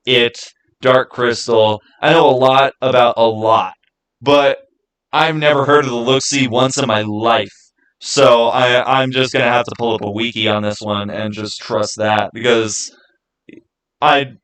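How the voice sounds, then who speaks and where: Male livestream host, mid-pitched voice, casual and halting, doubles back on his words while chatting to viewers. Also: fairly bright tone, low-quality recording. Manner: halting, repeats words and phrases.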